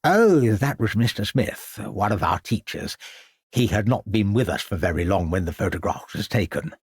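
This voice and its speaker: A man's voice: fairly low pitch, lively intonation, normal speed, slightly rough, no echo, studio quality, exaggerated voice. A man voicing a fussy, flustered elderly headmaster who stammers and speaks pompously.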